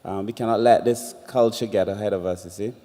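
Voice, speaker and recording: Mellow Barbadian man chairing in a hall. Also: on the low side, steady tone, fairly quick. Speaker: chair of a public consultation. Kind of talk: informal, firm public remarks. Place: large indoor meeting hall. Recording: reverberant hall public-address sound.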